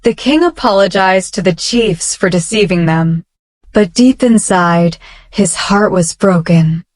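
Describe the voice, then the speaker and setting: Female storyteller for children's bedtime tales, slow and deliberate, medium pitch, very dry close recording. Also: dry recording.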